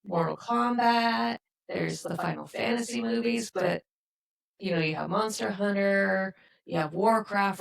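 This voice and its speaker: A middle-aged woman with a gravelly, creaky voice, chatting casually as a podcast host. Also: lowish voice, low-quality recording. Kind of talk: casual chat.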